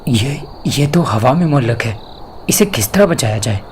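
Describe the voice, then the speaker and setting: A man's voice: medium pitch, lively intonation, moderate pace, a little hiss or hum, lightly acted. A man playing a wary, questioning young university student in an audio drama. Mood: alarmed.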